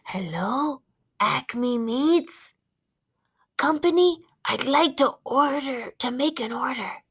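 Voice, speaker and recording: A woman's voice: high voice, lively intonation, takes it slowly, a bit bright, slightly rough, voice pushed up, exaggerated voice. A woman voicing a blunt, talkative female family dog in a children's storybook. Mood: chatty. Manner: outspoken, cheeky, talkative.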